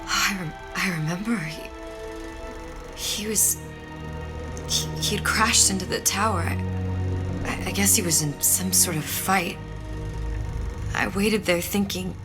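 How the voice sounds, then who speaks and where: An actress playing a weary, guilt-ridden young woman in a video game, speaking in a haunted, halting, introspective way. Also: medium pitch, over music, close to an everyday voice. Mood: shaken, grieving. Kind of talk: dramatic video game acting.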